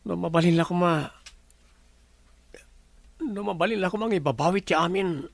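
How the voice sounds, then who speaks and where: Male lead, slightly high-pitched Ilocano boss, uneasy and doubting, radio drama. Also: moderate pace, creaky, dry recording. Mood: troubled, defensive. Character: troubled, doubting businessman and boss, uneasy expectant father.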